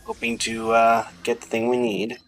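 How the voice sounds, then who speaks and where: A man's voice: mid-range voice, monotone, moderate pace, slight background noise, rough recording. A man giving casual gameplay commentary as a streamer, half-muttering his thoughts aloud to himself. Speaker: game streamer. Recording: music behind the voice.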